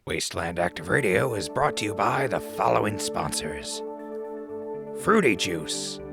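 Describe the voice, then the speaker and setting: A man's voice: fairly low pitch, creaky. A man playing a wry wasteland radio host and wanderer in an audio drama, talking conversationally. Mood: announcer pitch. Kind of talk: audio drama log and mock sponsor reads.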